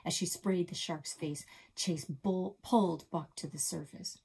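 A woman in her forties reading a children's book aloud expressively from a small office, often dropping to a soft whisper, recorded on a desk webcam. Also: fairly low pitch, measured pace, gravelly, slightly rough. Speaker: library storyteller. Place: small office with bulletin board.

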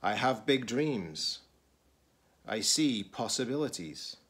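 A middle-aged man, a teacher-librarian, reading a children's picture book aloud deliberately, in short phrases with pauses. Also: medium pitch, lively intonation, a bit bright, slightly rough.

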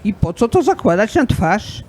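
A man playing a fussy, questioning god in an amateur radio play, with an animated, querying delivery. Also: high-pitched, moderate pace, slight background noise. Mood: puzzled.

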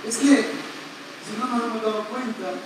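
High-voiced man preaching in an echoey pavilion. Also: steady tone, unhurried. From not Puerto Rican. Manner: playful, joking with the congregation, leads call-and-response. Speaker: priest preaching. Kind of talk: sermon at a retreat Mass. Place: large open-air covered pavilion, outdoors. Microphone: camera far back in the congregation. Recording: echoey, distant, noisy outdoor pavilion.

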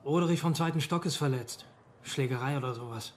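An actor playing a level-headed, cautious detective in a radio crime drama, reasoning and probing as a concerned colleague. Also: male voice, mid-range voice, fairly quick, a little mellow, slight background noise, lightly acted. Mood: worried.

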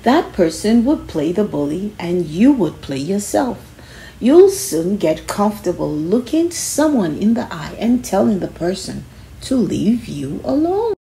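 Slow-paced woman reading to children, lively pitch. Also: gravelly, slight background noise, rough recording. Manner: instructive, emphatic on key phrases. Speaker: children's book reader. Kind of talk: read-aloud of a children's self-help book.

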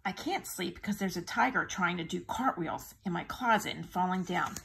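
A woman reading a children's picture book aloud in an expressive teacher's manner, drawing out the sound words. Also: fairly low pitch, moderate pace, creaky, breathy edge. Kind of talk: animated children's storybook reading.

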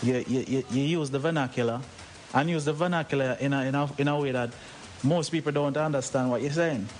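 A man's voice: medium pitch, brisk, darkish tone. A man with a Jamaican accent interviewing a musician for radio, probing and often restarting his questions. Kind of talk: casual interview chat. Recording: noisy old interview tape.

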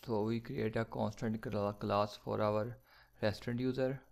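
Man, coding tutor voice-over: gravelly, flat delivery, slow step-by-step dictation of code. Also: lowish voice. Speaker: programming tutor.

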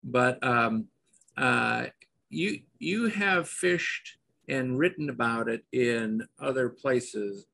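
An older man hosts a casual interview, easygoing and anecdotal. His mid-pitched voice has a gravelly edge, and he talks from a room at home over a video call. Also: slow pace. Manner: relaxed, anecdotal, asks open questions. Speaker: podcast host. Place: home studio room, indoors. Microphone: over-ear headphones, video call.

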